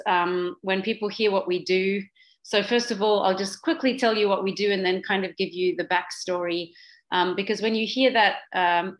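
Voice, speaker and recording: A Swiss-born woman in her forties with a faint Australian twang speaks on a video call. She is earnest and passionate, full of fillers and restarts, at a mid pitch. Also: a bit bright, low-quality recording. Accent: slight Australian twang. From Switzerland. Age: 40s. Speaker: guest speaker, social business founder. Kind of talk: online talk with a moderator.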